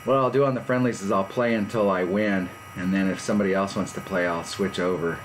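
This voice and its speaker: A man in his fifties rambles in a relaxed, self-deprecating way while streaming from his garage. His voice sits a bit low. A faint hum runs underneath. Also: brisk, rough recording. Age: 50s. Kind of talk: casual live-stream chat. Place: home garage.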